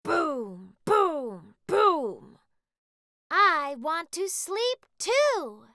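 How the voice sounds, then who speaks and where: A woman's voice: extremely high pitch, very animated, drawn-out words, pushed and bright, pitched below the performer's own voice, exaggerated voice. A woman voicing a huge, sleepy elephant stomping along in a children's storybook play. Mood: sleepy, booming.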